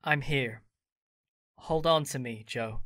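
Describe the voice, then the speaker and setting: A woman acting a gentle young man who reassures his friend. The voice is pitched low but bright and forward, recorded dry and close. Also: slow, dry recording, exaggerated voice. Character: Laurie, kind young man.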